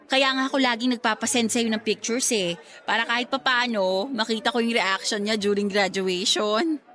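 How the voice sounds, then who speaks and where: A woman acting a sentimental young working woman who hopes to teach, earnest and expressive, in a radio drama. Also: on the high side, normal speed. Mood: warm, eager. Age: young adult.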